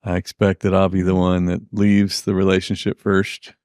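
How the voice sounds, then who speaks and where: Lowish middle-aged man, halting, dry sound. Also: completely flat delivery, darkish tone, clean tone, no room sound. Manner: halting, thoughtful, trailing phrases. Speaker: podcast host. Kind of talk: casual interview chat.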